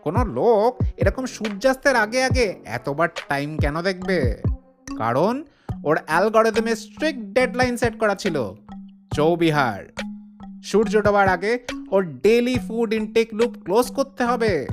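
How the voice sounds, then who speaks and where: Audio drama role: geeky, self-assured young detective, lecturing in jargon, highly animated intonation. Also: female voice, a little slow, over music. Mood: smug, analytical. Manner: didactic, jargon-laden code-switching between Bengali and English.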